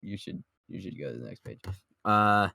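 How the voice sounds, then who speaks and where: A man's voice: lowish voice, lively intonation, normal speed, gravelly, sharp tone, no echo, studio quality. A man chatting casually and playfully as a podcast co-host on an online voice call. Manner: playful, jokey. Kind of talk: casual chat about manga.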